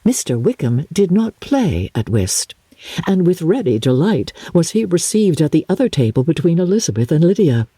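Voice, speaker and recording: A woman giving a dramatic, theatrical reading of classic literature, phrasing deliberately and leaning on key words. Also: fairly low pitch, sing-song, dark tone, husky, dry recording. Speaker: audiobook narrator, radio host and actress. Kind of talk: dramatic scripted narration of a classic novel.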